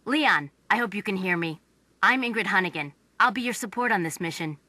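An actress playing a composed, businesslike young American mission support operator in a video game. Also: female voice, medium pitch, animated, fairly quick, sharp tone, dry recording. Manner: crisp, composed, professional. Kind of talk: video game radio-briefing dialogue.

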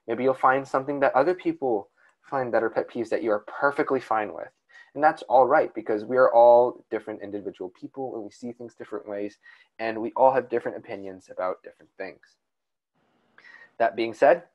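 A young man with a calm, soothing voice talks casually on a podcast. He rambles and restarts his sentences as he goes. His pitch sits in the middle range. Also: dull audio. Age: young adult. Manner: rambling, with many restarts and fillers. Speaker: podcast co-host. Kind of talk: casual chat.

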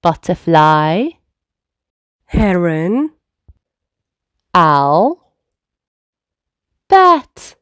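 A woman storyteller speaks to very small children in an encouraging, simple way. Her delivery is extremely slow, with a lively, sing-song rise and fall in pitch. The sound is dry and close. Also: clear, resonant tone, no room sound. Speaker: children's read-aloud storyteller. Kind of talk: reading first words aloud to toddlers. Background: faint music.

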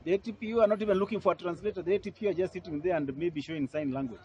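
A man's voice: high voice, racing, muffled recording, crowd noise. A man, a lawyer speaking to the press outdoors at an airport, indignant and emphatic, repeating phrases for force. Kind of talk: press interview. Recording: noisy outdoor field recording.

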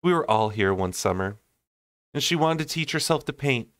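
A man's voice: mid-range voice, animated, normal speed, a bit bright, smooth, no echo, clean studio sound, pitched above the performer's own voice, natural voice. A man voicing a sassy, brash young woman in a storybook reading, wry and emphatic. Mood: frank, teasing.

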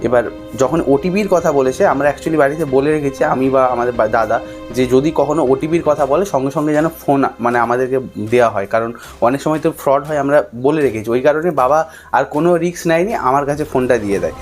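A man's voice: medium pitch, a little fast. A young man vlogger speaking unscripted to his viewers in an explanatory, cautionary way, with music behind him. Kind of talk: unscripted talk to camera, warning viewers.

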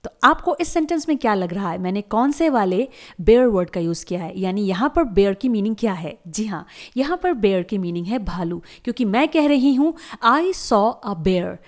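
A woman teacher, patiently explaining word meanings and repeating words slowly for learners in a voice-over lesson. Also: medium pitch, lively intonation, darkish tone. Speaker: English teacher. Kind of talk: explaining lesson to camera viewers. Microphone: voice-over on slides.

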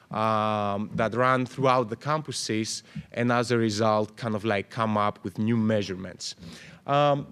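A man in his thirties, originally from Greece, speaks at a conference panel into a table microphone on a stand. His talk is loose and unscripted, full of "um"s, restarts and lively hand-waving, at a middling pitch for a man. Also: clean tone. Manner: animated, gestures a lot, many fillers and restarts. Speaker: panel moderator. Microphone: table mic on stand.

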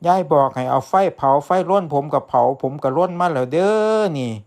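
A man's voice: fairly high pitch, fairly quick, vocal fry, heavy background noise. A man voicing a naive, earnest young village grandson with an Isan accent, plaintive and eager in a folktale. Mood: proud, eager. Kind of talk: folktale character voice. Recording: music behind the voice.

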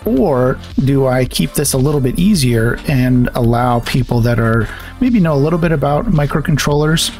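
A man's voice: mid-range voice, animated, normal speed, darkish tone, faint music behind. A man hosting a hobby tutorial, explaining things step by step in a plain-spoken way. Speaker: host of an electronics hobby channel.

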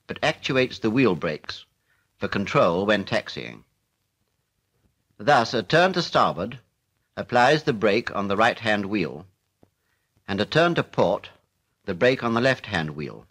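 A British man narrating a 1940s military instructional film in a formal, measured, matter-of-fact way. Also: lowish voice, a bit bright.

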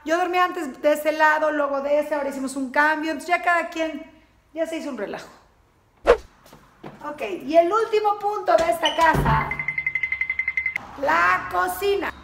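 Mujer aguda y parlanchina en casa resonante. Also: female voice, high voice. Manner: chatty, upbeat, points things out as she walks. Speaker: vlogger giving a home tour. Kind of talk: casual house tour walk-through. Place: rooms of a house, indoors. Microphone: handheld camera, close, moving. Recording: echoey rooms, clear background noise.

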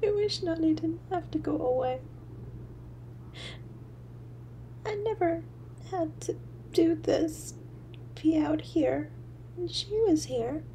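Starving scared little match girl for audio drama, slow halting pleading, really high voice, shivery and teary. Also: mellow, slight background noise, rough recording. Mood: wistful, sad. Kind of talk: dramatic audio story monologue.

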